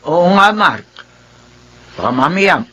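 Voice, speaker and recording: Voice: medium pitch, very animated, slow pace, sharp tone, a little hiss or hum, heavily acted. A performer with a Filipino accent playing a caring, anxious old grandmother in a radio drama, fussing and advising. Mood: concerned.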